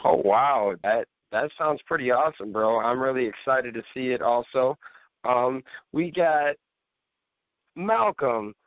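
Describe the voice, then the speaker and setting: Guy hosting a chatty panel show, regular pitch, close dry sound, bit lo-fi. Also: animated, measured pace, no echo. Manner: informal, addresses co-hosts as buddy and bro. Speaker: podcast host. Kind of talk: casual panel chat about games and movies. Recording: slightly dull, lo-fi audio.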